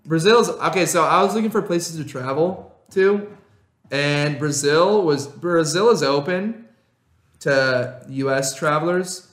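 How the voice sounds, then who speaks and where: A young man co-hosting a podcast in casual chat, rambling and excitable, laughing often. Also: a little high, unhurried. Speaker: podcast co-host. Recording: roomy echo.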